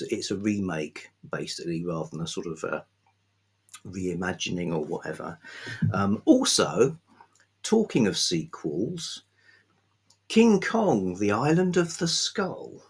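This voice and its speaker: A man hosting an informal book review, talking off the cuff in a halting, thoughtful, enthusiastic way. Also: low-pitched, big pitch swings, slightly rough. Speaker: book reviewer, video host. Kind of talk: unscripted book talk to camera.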